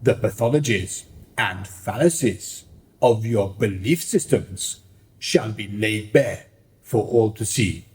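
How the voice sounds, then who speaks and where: A man's voice: lowish voice, animated, slow pace. A man playing a scheming theatrical marquis who narrates a radio play in a grandiose, declamatory way. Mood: gloating, grand. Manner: grandiose, declamatory, mock-Shakespearean.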